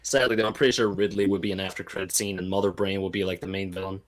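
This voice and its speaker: A man speaking as a guest in a relaxed, informal podcast chat about games. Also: sits low, rapid-fire.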